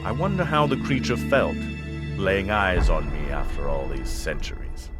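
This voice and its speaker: An actor playing an ancient, scheming dark lord in an audio drama, with a pompous, formal and menacing delivery. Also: male voice, deep voice, big pitch swings, moderate pace. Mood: brooding, scheming. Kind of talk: theatrical audio drama villain monologue. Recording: music behind the voice.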